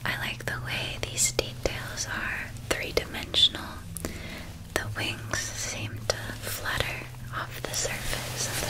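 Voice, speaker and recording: A woman performing an ASMR roleplay as a gentle, attentive witch, speaking in a soft, caring, descriptive whisper. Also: a little high, rough recording. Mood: gentle, admiring. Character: gentle, attentive witch outfitting an academy student.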